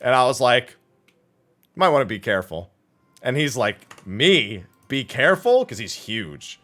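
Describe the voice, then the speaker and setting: A man chatting casually as a livestream host, telling anecdotes in an animated, profane way and acting out the dialogue. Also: mid-range voice, unhurried, soft background music.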